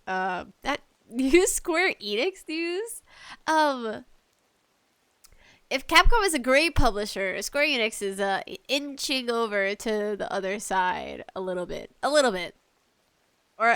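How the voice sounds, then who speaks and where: A woman hosting a casual gaming podcast, talking solo in a slangy, conversational way with animated asides. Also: a little high, clear, resonant tone, no echo. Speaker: podcast host.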